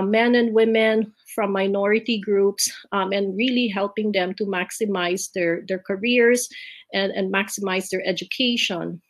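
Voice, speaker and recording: A middle-aged woman from the Philippines tells her life story at a virtual awards event, thoughtful and unhurried, with many ums and restarts. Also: mid-range voice. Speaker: leadership award speaker. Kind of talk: personal life story talk. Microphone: video call.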